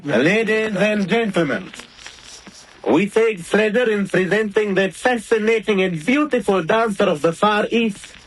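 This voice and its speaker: A man's voice: high voice, full character voice, poor audio. A man playing a nightclub master of ceremonies in a 1930s radio drama, giving a formal, showman-like introduction.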